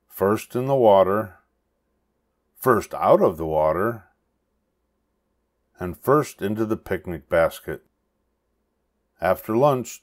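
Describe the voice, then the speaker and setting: An older man reading a children's story aloud with expressive narration. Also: low-pitched. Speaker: storybook reader.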